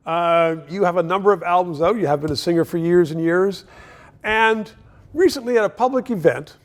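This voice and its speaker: A man hosting a political interview show, asking short, direct questions and making appeals to viewers. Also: high-pitched.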